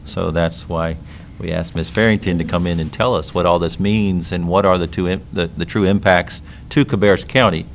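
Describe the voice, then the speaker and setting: A man with a very deep, gravelly voice introduces a presentation at a county meeting. He rambles and restarts his sentences often. Faint room noise sits beneath him. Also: fairly even intonation, moderate pace, hardly any pauses. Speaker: county official.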